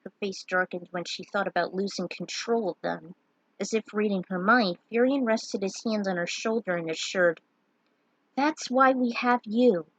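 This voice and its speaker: A woman's voice: medium pitch, normal speed, fairly bright tone, no room sound, rough recording. A woman narrating her own fan fiction, with some casual asides in her own words. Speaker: fan fiction writer and reader.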